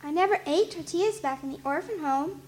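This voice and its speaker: A woman's voice: sits high, brisk, close to an everyday voice. A woman playing a small, eager, curious orphan girl in a radio drama. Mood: curious, hopeful. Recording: live stage, faint background noise.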